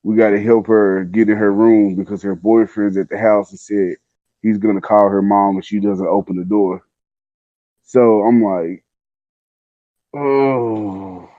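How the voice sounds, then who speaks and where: Low-voiced man, slangy storyteller. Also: steady tone, measured pace, no room sound, phone-quality sound. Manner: laid-back, conversational, acts out quoted dialogue. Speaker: podcast guest telling a personal story.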